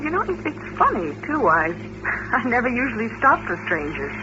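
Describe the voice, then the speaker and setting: A muffled, noisy vintage radio recording of a young chorus girl. She is a naive woman with a very lively, swinging intonation, in the manner of 1950s science fiction radio drama. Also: a little high, moderate pace. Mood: chatty, open. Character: friendly, naive young female chorus dancer, engaged, uneasy.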